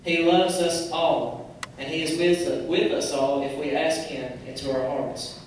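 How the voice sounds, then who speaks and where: A man's voice: on the high side, fairly even intonation, a little slow, lightly acted. Guy doing an earnest fireman puppet preaching kindly, echoey far-off recording. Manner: earnest, admonishing, patient. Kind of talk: children's church puppet show, preachy dialogue. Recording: very echoey hall, distant mic, noisy.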